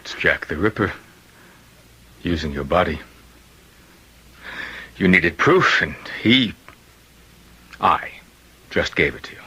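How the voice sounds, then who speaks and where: An actor playing a gravely ill, solemn and insistent man who believes a killer's spirit possesses him, in old-time radio drama style. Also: low-pitched, animated, takes it slowly, pauses often, pushed and bright, heavily acted, rough recording. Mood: ominous, certain.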